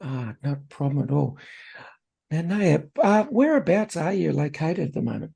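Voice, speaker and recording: A man's voice: a little high, very animated, normal speed, gravelly, warm tone, breathy edge. A man hosting a conversational interview, speaking in an effusive, encouraging manner. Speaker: podcast host, interviewer. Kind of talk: casual interview chat.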